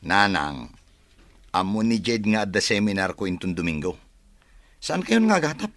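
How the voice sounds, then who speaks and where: A man's voice: fairly low pitch, very animated, normal speed, fairly bright tone, no room sound, studio quality. A Filipino man playing a grown son in a radio drama, evasive and defensive as he questions his mother.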